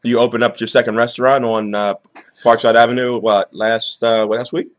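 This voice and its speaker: An American man with an average-pitched voice interviews a guest as a show host. His style is informal and conversational, with casual, rambling questions and words repeated as he feels his way to the point. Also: flat delivery, a little fast, dry recording, clean studio sound.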